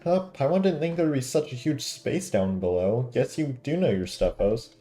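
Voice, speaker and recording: A man's voice: mid-range voice, expressive, moderate pace, dark tone, soft background music, lightly acted. Man voicing a timid, chatty little fairy companion. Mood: curious, teasing. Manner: talks of self in third person.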